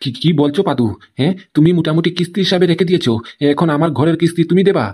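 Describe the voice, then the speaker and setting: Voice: mid-range voice, very fast, a little mellow, a little hiss or hum, lightly acted. A performer voicing an astonished cartoon homeowner, questioning everything in disbelief. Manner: incredulous, questioning. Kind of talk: amateur cartoon dialogue.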